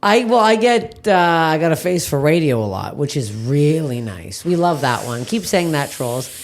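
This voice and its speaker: A woman comedian, a guest on a podcast, chatting bluntly and jokily, with quick asides and free swearing. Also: low-pitched, big pitch swings, a little mellow, a little hiss or hum. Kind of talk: casual chat.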